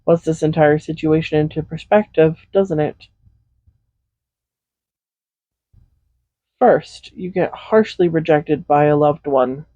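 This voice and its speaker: Man playing a mellow, coaxing, possessive villain. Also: fairly high pitch, no echo, muffled recording, lightly acted. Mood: pointed sympathy. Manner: soothing, coaxing, gently reassuring. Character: tender, possessive villain comforting a captive loved one. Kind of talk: intimate roleplay audio drama. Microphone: close USB condenser microphone.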